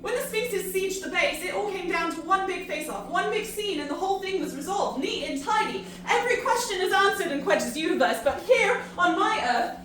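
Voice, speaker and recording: A woman playing an earnest, disillusioned human pleading in an audio drama, halting and emphatic in her delivery. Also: extremely high pitch, lively intonation, a bit bright. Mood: frustrated, bitter. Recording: very echoey, distant, noisy ambience.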